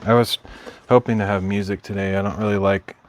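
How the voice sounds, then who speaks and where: A man streaming from his craft room at home, chatting casually while he works, rambling and correcting himself in a mild, low-key way. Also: fairly even intonation, a little fast, slight background noise.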